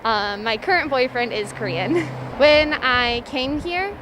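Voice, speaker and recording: High-voiced American woman on a noisy street. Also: takes it slowly. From Kansas, United States. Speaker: interviewee. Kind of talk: casual street interview answers. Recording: clear street traffic noise.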